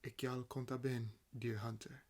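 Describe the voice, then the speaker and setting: A man voicing an ancient vampire in an audio roleplay, nonchalant and amused, speaking with wry condescension and theatrical pauses. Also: mid-range voice, flat delivery, darkish tone, close to an everyday voice. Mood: smug, teasing. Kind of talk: roleplay audio acting, addressing the listener.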